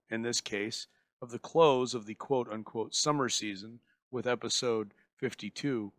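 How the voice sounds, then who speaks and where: A man's voice: lowish voice, a bit bright, dry recording. A man reading scripted narration as the host introducing an old radio programme.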